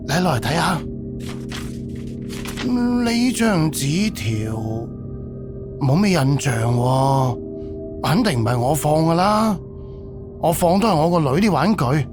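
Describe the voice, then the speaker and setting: A man acting a grieving, hospitable middle-aged amusement park director in a Cantonese audio drama. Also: a little high. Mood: puzzled. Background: background music.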